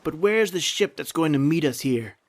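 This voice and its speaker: An actor playing a surly, suspicious convict thief and cutthroat in a radio horror drama, curt and grumbling. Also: male voice, a little high, expressive, moderate pace. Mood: suspicious, uneasy. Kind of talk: old-time radio horror drama acting.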